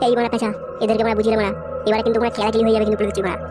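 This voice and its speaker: A woman's voice: a little high, level tone, very fast, close to an everyday voice. A woman voicing a cocky, foul-mouthed but easily spooked young man in a comedy cartoon, with an Indian Bengali accent. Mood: indignant bluster. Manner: brash, slangy, swearing constantly. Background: music.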